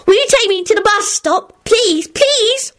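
A woman voicing an excitable young boy in a story reading, loud and pleading. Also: very high voice, a little slow, voice pushed up, exaggerated voice. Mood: happy, pleading.

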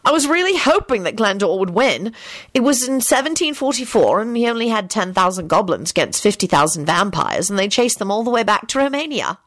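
Woman voicing a smug, excitable young woman, lively. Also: medium pitch, a little fast, dry recording, studio quality, pitched above the performer's own voice. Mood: smug triumph. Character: triumphant, clever young woman in a fantasy story.

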